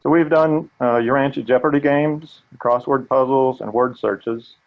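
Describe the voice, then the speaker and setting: An older man with a gravelly voice, earnestly presenting a live training webinar. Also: mid-range voice, a little slow, phone-quality sound. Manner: earnest, steady, occasional restarts. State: gravelly voice today.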